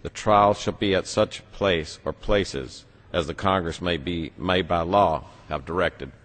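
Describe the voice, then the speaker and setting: A man with a deep bass voice gives a formal reading as a legislator. His voice resounds in a reverberant chamber. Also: a little slow, fairly bright tone. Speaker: legislator reading a legal text aloud. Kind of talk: formal read-aloud.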